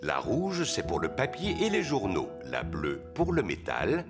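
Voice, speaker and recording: A man's voice: low-pitched, wildly swooping pitch, a little slow, over music, exaggerated voice. A man voicing a kindly, easygoing daddy pig, patient and playful, in a French-dubbed preschool cartoon. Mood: explaining.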